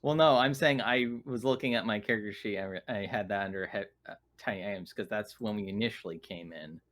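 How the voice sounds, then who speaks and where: A man chatting casually as a player in a tabletop game, halting and stammering as he thinks aloud. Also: lowish voice, a bit bright.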